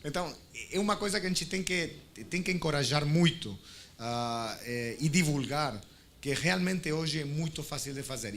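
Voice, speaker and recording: A man from Argentina with an Argentine Spanish accent, speaking on a conference panel, halting with frequent restarts and fillers. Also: on the high side, a bit bright, slight background noise.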